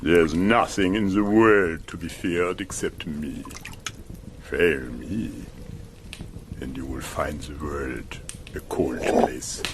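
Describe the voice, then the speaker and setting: An actor playing a cruel, domineering middle-aged German officer in a radio drama, mocking and commanding. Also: male voice, a bass voice, background noise. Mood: threatening. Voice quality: mocking, commanding, slow and deliberate. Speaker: villain.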